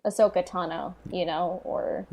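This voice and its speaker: A woman's voice: fairly low pitch, fairly even intonation, moderate pace, dark tone. A woman co-hosting a casual podcast discussion, informal and thinking aloud in fragments. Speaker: podcast co-host.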